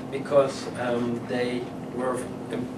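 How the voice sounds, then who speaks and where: A man's voice: mid-range voice, level tone, slow. A man from the Netherlands with a Dutch accent, giving a talk to a small audience in a meeting room, hesitant with many fillers and restarts. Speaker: guest speaker, psychologist. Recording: roomy, distant mic, loud background noise.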